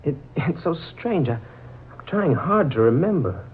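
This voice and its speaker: Husky musing gentleman, old radio. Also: a little high, sing-song, unhurried, exaggerated voice. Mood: puzzled. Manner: hesitant, musing. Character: courteous, puzzled gentleman haunted by odd familiarity. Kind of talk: 1940s radio drama acting. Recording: muffled, crackly old 1940s radio recording.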